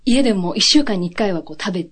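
A woman's voice: medium pitch, lively intonation, quick pace. A young woman from Japan chatting casually as a guest, polite and bubbly, giggling easily. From Yokohama, Japan. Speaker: guest, trainee voice actor. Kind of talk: casual chat.

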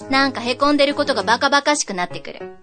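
A trainee voice actress playing an exasperated but affectionate young woman in a conversational radio drama, earnest and quick to retort. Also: high voice, pushed and bright, faint music behind. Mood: wry, softened.

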